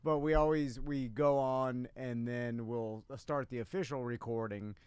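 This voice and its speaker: A man's voice: mid-range voice, fairly even intonation, a little slow, darkish tone, clean tone, dry recording. A middle-aged man hosting a talk show in a casual, chatty style. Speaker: radio show host. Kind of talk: casual chat.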